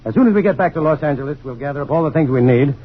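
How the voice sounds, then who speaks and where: An actor playing an earnest, determined male scientist in a vintage science fiction radio drama, talking briskly and resolutely. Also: on the high side, animated, crowd noise, close to an everyday voice. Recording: muffled, noisy old radio recording.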